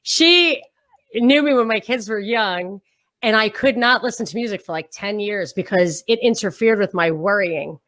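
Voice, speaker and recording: Animated laughing middle-aged woman. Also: mid-range voice, moderate pace. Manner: animated, laughing, self-mocking. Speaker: podcast guest. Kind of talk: casual chat.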